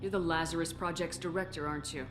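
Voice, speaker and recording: An actress portrays a resolute military commander in a video game. Her low female voice is firm and no-nonsense, that of a principled leader. Music is clearly heard behind it. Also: fairly even intonation, fast talker, lightly acted. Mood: firm, questioning. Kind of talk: video game cutscene acting.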